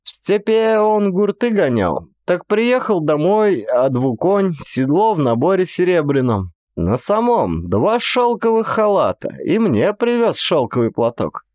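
A man voicing a frail, gentle old Cossack mother who rambles in folksy rural speech in a dramatized audiobook. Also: sits high, sing-song, measured pace, hardly any pauses, dry recording, clean studio sound. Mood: plaintive recollection. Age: older.

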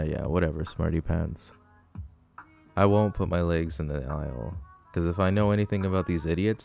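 Man playing a deep-voiced cocky teenage delinquent. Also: vocal fry, dark tone. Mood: irritated, mocking. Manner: sarcastic, teasing, dismissive. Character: cocky, grumpy tall teenage delinquent boy, teasing. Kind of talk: boyfriend ASMR audio roleplay.

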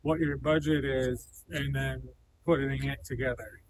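A man's voice: mid-range voice, steady tone, measured pace, gravelly, background noise. A man in his thirties interviewing a farmer in a large barn, conversational and prompting with questions. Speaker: interviewer for a silo company. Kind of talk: on-site interview. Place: indoor cattle barn, large, open-sided.

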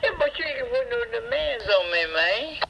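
A man's voice: very high-pitched, sing-song, moderate pace, gravelly. A man trading crude, taunting banter in a casual, brash way over a CB radio. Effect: CB radio transmission. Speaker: CB radio operator. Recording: noisy CB radio through small speaker.

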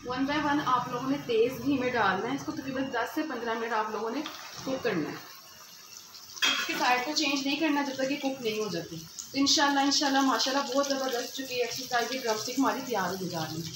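A fairly high-voiced woman talks viewers through a recipe in a relaxed, repetitive way, blessing each step as she cooks. She is recorded on a phone in a home kitchen. A faint hum of room noise sits behind her voice. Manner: chatty, repeats words, Islamic blessings before each step. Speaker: cooking channel host. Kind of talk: casual cooking tutorial narration.

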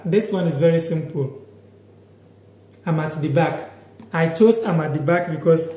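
A young man from Ghana giving a relaxed live lesson from a sofa in a small room, talking slowly and saying each word over and over. His voice sits fairly high, and it rings a little in the echoey room. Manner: slow, repeats each word and sentence several times. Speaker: language teacher on a livestream. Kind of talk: casual live lesson, reading out viewer comments.

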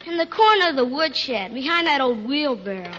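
A woman's voice: high-pitched, expressive, normal speed, background noise. A woman playing a cheeky young village boy, a farmer's son, in 1940s radio style. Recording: muffled 1940s radio recording.

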